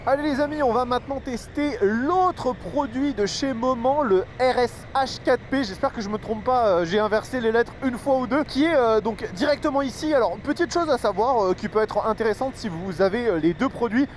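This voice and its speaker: A high-voiced man rides along and reviews gear in a loose, rambling way, filling gaps with hesitations. He talks into a helmet microphone, with loud road and traffic noise heard around him. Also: moderate pace, hardly any pauses. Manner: chatty, many filler hesitations. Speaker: motorcycle vlogger reviewing gear. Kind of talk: casual product review while riding. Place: outdoors on a motorcycle in traffic. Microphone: helmet-mounted microphone. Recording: noisy outdoor road recording.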